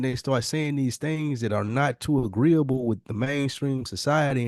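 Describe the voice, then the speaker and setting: A man's voice: medium pitch, a little mellow, dry recording. Emphatic man in slangy, dry-sounding podcast talk. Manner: emphatic, repeats phrases for emphasis; slangy, profane. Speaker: podcast speaker. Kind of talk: opinionated casual conversation.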